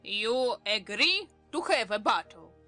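A woman's voice: a little high, big pitch swings, slow pace, bright, forceful tone, faint music behind, full character voice. A woman playing a petulant storybook twin brother who recites verse theatrically. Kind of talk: dramatized audiobook acting.

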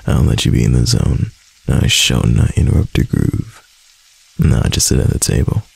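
A young man voicing a doting, clingy husband in a soft, intimate ASMR roleplay. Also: extremely low pitch, sing-song, normal speed, gravelly, slightly rough, exaggerated voice. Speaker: voice actor.

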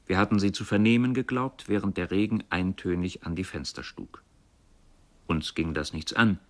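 An actor playing a brooding river fisherman in a literary radio play, with measured, reflective storytelling. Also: low voice, lightly acted. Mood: brooding, remorseful. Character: brooding poor river fisherman, remorseful and fatalistic.

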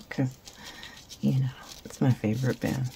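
Craft host, woman, hoarse and gravelly, casual self-mocking ramble, slight room hum. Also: rumbling low, slow pace, dark tone. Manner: rambling, self-deprecating, stammers and repeats. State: raspy from cheering at a concert. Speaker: resin craft channel host. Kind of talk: casual chat while crafting. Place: craft table indoors.

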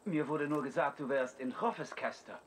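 Man playing a highish-voiced gruff Saxon soldier. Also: normal speed, slight background noise, close to an everyday voice. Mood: wary, deferential. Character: gruff Saxon soldier captain, deferential to his lord. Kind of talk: dramatic video game dialogue.

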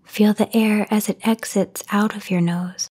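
A woman leads a guided sleep meditation in a soft, half-whispered voice of medium pitch. Her tone is breathy and soothing. She takes her time, leaving long pauses between phrases. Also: steady tone. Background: voice only, no music or background noise.

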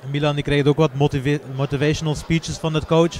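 A man's voice: a little high, level tone, quick pace, darkish tone. A man with a Flemish Belgian accent giving live event commentary as a co-commentator at a fight.

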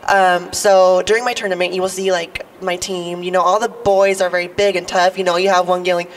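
A young woman with a low voice, a little sick, performing a stand-up comedy monologue with playful, teasing asides to the crowd. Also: little pitch movement, a little fast. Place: outdoors on building steps at a podium. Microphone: podium mic. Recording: distant outdoor mic, faint noise.

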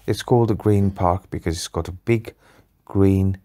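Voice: deep voice, steady tone, moderate pace, warm tone. An adult telling an improvised bedtime story to a child, patiently and in simple phrases.

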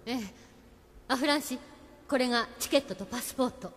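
Firm, brisk resistance agent. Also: female voice, high voice. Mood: insistent. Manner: firm, no-nonsense. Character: firm, composed adult female resistance agent. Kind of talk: Japanese anime audio drama acting.

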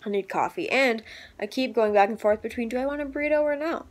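A chatty young woman in her twenties vlogging with a handheld camera at arm's length. She talks loosely and swears freely, drifting between weary grumbling and bursts of excitement. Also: on the high side, fast talker. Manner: chatty, swears freely, swings from tired to hyped. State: congested. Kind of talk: casual vlog chat. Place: home living room, then car and mall.

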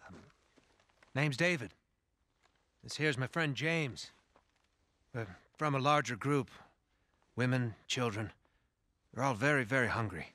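An actor playing a middle-aged American man, a polite, soft-spoken survivor leader who talks in a hesitant, measured, placating way in a video game. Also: medium pitch, dry recording. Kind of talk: naturalistic video game acting.

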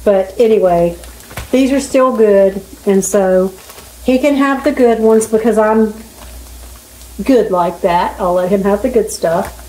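A middle-aged or older woman chatting casually in a folksy, unhurried way as she vlogs at home. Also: mid-range voice, warm tone. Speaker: home vlogger. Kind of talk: casual chat while cooking. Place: home kitchen, indoors. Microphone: camera a few feet away. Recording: roomy kitchen with sizzling pan noise. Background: frying and sizzling food.

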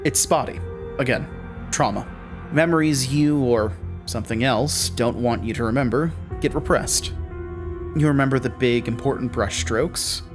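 An actor playing a driven, stern male investigator in an audio drama, with a measured, pressing delivery. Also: medium pitch, lightly acted.

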